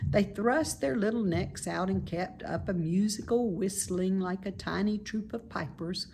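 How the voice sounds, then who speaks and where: A woman reading a children's story aloud in expressive narration. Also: mid-range voice, unhurried, vocal fry, a little hiss or hum. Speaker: storybook reader.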